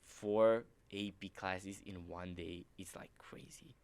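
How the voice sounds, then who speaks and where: Low-voiced young Romanian man chatting. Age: teens. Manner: animated, filler-heavy, quick laughs. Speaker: podcast host, exchange student. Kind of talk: casual chat.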